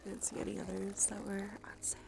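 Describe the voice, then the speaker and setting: A woman hosting a cozy ASMR livestream, chatting casually in a soft, breathy, often half-whispered voice with a congested nose, in a laid-back, rambling way. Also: mid-range voice, monotone, fast talker. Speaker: livestream host. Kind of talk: cozy ASMR stream, casual chat to viewers. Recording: clear background noise, ambient sound.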